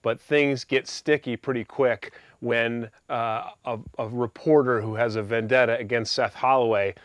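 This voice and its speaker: A man's voice: medium pitch, steady tone, a little slow, no room sound. A man with a mid-range voice speaks as an author and narrator. He is close to a studio microphone, with a very dry sound around the voice. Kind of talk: scripted narration and informal commentary.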